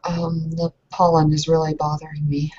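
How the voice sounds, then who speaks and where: Casting: woman as nervous young married woman in improvised roleplay; lowish husky voice, halting delivery, dull lo-fi recording. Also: little pitch movement, dark tone. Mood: awkward, earnest. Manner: hesitant, halting, awkward. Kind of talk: tabletop roleplay, improvised in character.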